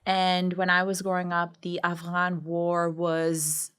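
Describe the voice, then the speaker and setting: A woman from Pakistan with a slightly low voice gives a candid interview. She speaks articulately and reflectively, stressing key words as she tells her own story. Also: monotone, takes it slowly, fairly bright tone, smooth. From Karachi, Pakistan. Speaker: podcast guest, speaker and coach.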